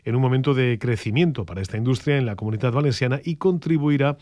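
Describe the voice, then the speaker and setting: A man's voice: medium pitch, fast, no room sound. Male news anchor, dry studio sound. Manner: steady delivery with occasional hesitations. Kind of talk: regional news reading.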